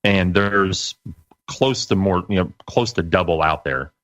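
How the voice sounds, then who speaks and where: A man in his late forties talking casually as an interview guest, in a halting, folksy way. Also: low voice, fairly bright tone, no echo, highs cut off. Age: late 40s. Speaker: guest, internet radio broadcaster.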